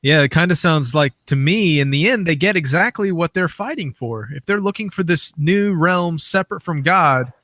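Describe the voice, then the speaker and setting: Higher-voiced casual male interviewer. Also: lively intonation, moderate pace, hardly any pauses, fairly bright tone, no echo. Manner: informal, rambling lead-ins to questions. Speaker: interviewer, host.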